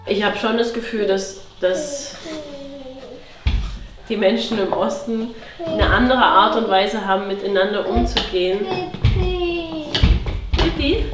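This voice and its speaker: A woman's voice: mid-range voice, unhurried, long pauses, over music. A woman from eastern Germany in casual, reflective interview talk, recorded with roomy, distant location sound. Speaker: interviewee from a farming family.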